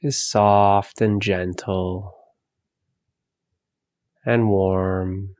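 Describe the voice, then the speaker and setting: Slow, low-voiced man guiding meditation. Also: clean tone, dry recording, clean studio sound. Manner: calm, soothing, gentle instruction with long pauses. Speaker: meditation guide. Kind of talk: guided meditation.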